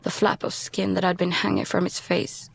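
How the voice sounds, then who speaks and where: A woman acting a frightened, exhausted young survivor adrift at sea in an audio drama, halting and husky. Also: on the low side, steady tone, exaggerated voice. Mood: hushed, drained dread.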